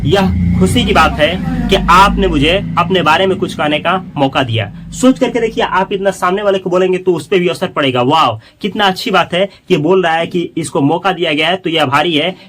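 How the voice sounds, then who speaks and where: A man with a high voice teaches a spoken English lesson. He explains in Hindi and says each English model sentence slowly and carefully. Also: a bit bright, a little hiss or hum.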